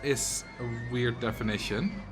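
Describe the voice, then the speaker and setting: A man's voice: mid-range voice, steady tone, a little slow, music in the background. A man with a Dutch accent, a streamer chatting casually and answering chat messages while he plays a game. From Netherlands.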